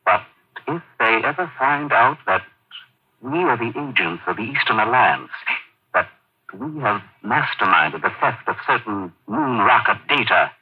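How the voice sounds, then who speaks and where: A man playing a nervous, fearful surgeon with an anxious, halting, melodramatic delivery in an old-time radio drama. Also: mid-range voice, animated, breathy edge. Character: nervous, fearful male surgeon, secretly an enemy agent. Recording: muffled old radio recording.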